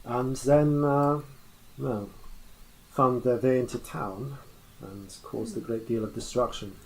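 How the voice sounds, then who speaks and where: A man voicing a formal, learned male cleric who explains things in measured, lecturing tones. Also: medium pitch, gravelly, a little mellow, a little hiss or hum, poor audio. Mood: explaining.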